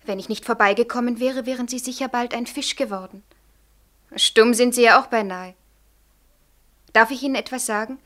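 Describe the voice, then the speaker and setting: A woman playing a kind young girl in a vintage radio play. Her voice is on the high side. She sounds frank and concerned, asking earnestly and coaxing gently. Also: normal speed, pauses often, natural voice. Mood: kindly coaxing. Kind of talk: 1940s German radio play acting.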